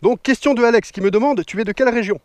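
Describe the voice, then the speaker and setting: A man's voice: extremely high pitch, fast talker, no room sound. A man in his thirties from northern France, a motorcycle vlogger answering viewer questions in a joking, slangy way outdoors. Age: 30s. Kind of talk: casual Q&A vlog. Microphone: action camera mic.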